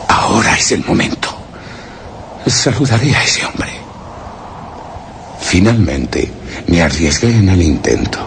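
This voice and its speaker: An actor playing a solemn, anxious chronicler in a radio horror drama, grave and formally literary, his phrase endings turning breathy. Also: a bass voice, noisy background, heavily acted. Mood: grave resolve, then solemn unease. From Spain. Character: solemn, anxious male chronicler of a mysterious encounter. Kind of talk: radio horror drama, first-person narration of a written account. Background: music.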